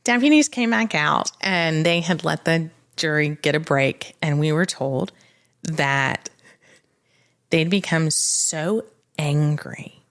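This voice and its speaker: A woman's voice: low-pitched, slow. A woman co-hosting a podcast, telling stories off the cuff in a dramatic, conversational way. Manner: animated, dramatic retelling with acted quotes. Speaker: podcast host. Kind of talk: unscripted conversational storytelling.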